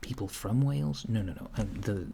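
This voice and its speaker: A man doing a soft-spoken ASMR roleplay as a curious, puzzled alien interviewer, speaking in a deliberate, halting, deadpan way. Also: fairly low pitch. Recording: clear background noise, keyboard typing. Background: computer keyboard typing.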